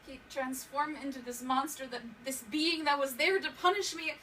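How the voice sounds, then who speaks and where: A woman acting a haunted young survivor of abuse in a dramatic stage monologue, halting and emotional, repeating words for emphasis. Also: a little high, steady tone, a bit bright. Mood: anguished. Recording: distant mic, clearly noisy room recording.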